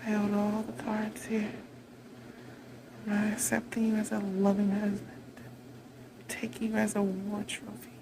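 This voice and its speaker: A woman playing a haughty, vengeful vampire queen in a roleplay monologue, imperious, taunting and deliberate in delivery. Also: medium pitch, completely flat delivery, gravelly, noisy background. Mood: taunting, commanding.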